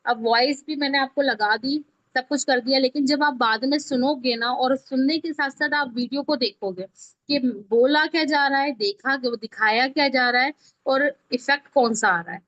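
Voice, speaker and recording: Indian lady teacher, high voice, chatty step by step tutorial, dry muffled lo-fi sound. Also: moderate pace, fairly bright tone, no room sound. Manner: conversational, repeatedly checks understanding. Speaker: video editing teacher. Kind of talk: informal online tutorial, explaining step by step. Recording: dull, lo-fi online class audio.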